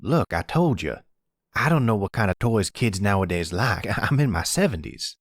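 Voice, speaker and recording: A man's voice: on the low side, sing-song, normal speed, no echo, clean studio sound, pitched above the performer's own voice. A man playing a defensive, grumbling old man in his seventies in an audio roleplay. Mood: defensive, amused.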